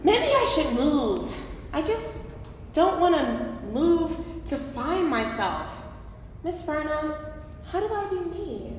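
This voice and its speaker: A woman's voice: sits high, sing-song, takes it slowly, a little mellow, full character voice. A young woman acting a frustrated, yearning twenty-one-year-old in a staged play reading, recorded in an echoey, noisy hall. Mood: searching. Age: young adult.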